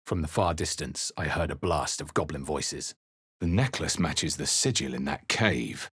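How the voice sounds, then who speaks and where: A man voicing an earnest, wry male adventurer hero in a fantasy video game, telling his quest in a measured, brooding, dramatic way. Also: a bass voice, animated, fairly bright tone, slightly rough, dry recording, clean studio sound, exaggerated voice. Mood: grim, brooding. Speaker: video game player-character voice actor. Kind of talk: dramatic game narration and short character barks.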